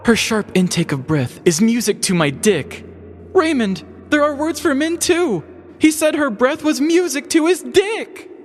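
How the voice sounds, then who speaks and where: A man's voice: extremely high pitch, very animated, a little slow, big-room sound, soft background music, voice pushed up, heavily acted. A man voicing an infatuated, earnest young woman who gushes about her steamy novel in a comic story. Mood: gushing, pleading.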